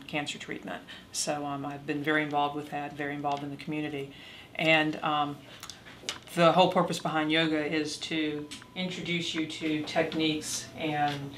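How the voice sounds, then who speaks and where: A woman's voice: low-pitched, a bit bright, a little hiss or hum. A woman playing an earnest yoga therapist explaining her practice in an amateur role play. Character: earnest female yoga therapist for cancer patients.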